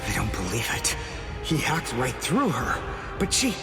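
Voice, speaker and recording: Expressive, earnest young warrior. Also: male voice, medium pitch, measured pace. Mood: shocked. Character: earnest, analytical young male archer-warrior, protective and indignant. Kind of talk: anime video game voice acting. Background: music.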